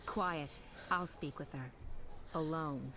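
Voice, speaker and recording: A woman's voice: sits low, slow pace, a bit bright, slight background noise, full character voice. A woman playing a jaded young resistance leader in a video game, curt, guarded and commanding. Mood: stern. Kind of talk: video game cutscene acting. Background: background music.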